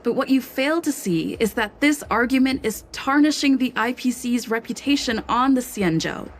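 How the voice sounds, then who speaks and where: An actress playing a poised young woman negotiator who speaks with firm, measured persuasion in a video game. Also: fairly high pitch, expressive.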